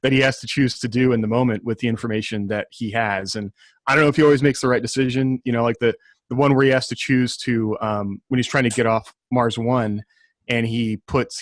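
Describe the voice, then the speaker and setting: A man with a mid-range voice talks casually as an author on a discussion panel. He is reflective and halting, working out his thoughts as he goes. The sound is close and dry. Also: mid-range voice, no room sound. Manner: reflective, halting, thinking aloud.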